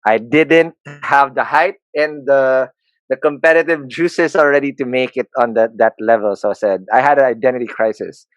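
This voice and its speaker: Filipino man, 30s, candid self-deprecating guest, mid-pitched, dry studio sound. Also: moderate pace, no room sound, poor audio. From Philippines. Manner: self-deprecating, joking, frequent restarts. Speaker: podcast guest, entrepreneur. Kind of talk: candid conversational interview.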